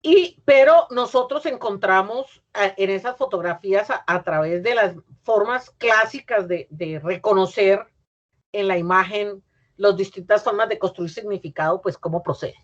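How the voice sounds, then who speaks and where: A middle-aged woman with a Colombian accent lectures in a measured, explanatory way, often pausing on "eh" and correcting herself mid-phrase. Her voice sits at an ordinary middle pitch. The sound is a dull, muffled video call. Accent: Colombian Spanish. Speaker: university professor giving a lecture.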